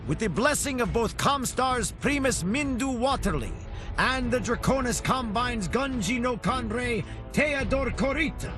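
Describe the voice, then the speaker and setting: An actor playing a proud, defiant independence leader giving a solemn, declamatory political speech in a game. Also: male voice, very high voice, lively intonation, measured pace, music in the background. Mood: proud, resolute.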